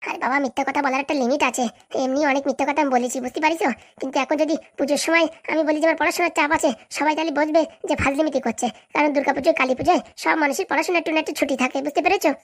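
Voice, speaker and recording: A woman's voice: high-pitched, steady tone, very fast, close to an everyday voice. A woman voicing an evasive, reassuring young student boyfriend in a comedy cartoon, chatty and brushing off objections. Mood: brisk, exasperated. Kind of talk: Bangla comedy cartoon dialogue.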